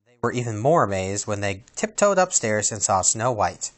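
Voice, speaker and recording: A man reading a children's storybook aloud as storyteller, with a dramatic, expressive delivery. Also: on the low side, normal speed.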